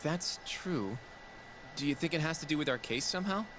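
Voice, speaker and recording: A man's voice: a little high, lively intonation, normal speed, close to an everyday voice. Actor playing an earnest young male sidekick, noisy background. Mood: curious. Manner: polite, hesitant.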